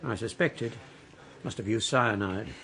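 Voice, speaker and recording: An actor playing a cool, knowing police investigator in a radio drama, measured and detached. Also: male voice, lowish voice, creaky, pushed and bright, exaggerated voice.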